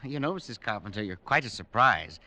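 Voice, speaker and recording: Casting: deferential hotel clerk, sharp bright tone, very lively intonation, vintage radio play, effusive. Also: male voice, lowish voice, brisk, full character voice. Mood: eager, flattering. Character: obsequious, chatty hotel desk clerk. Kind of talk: 1940s radio acting.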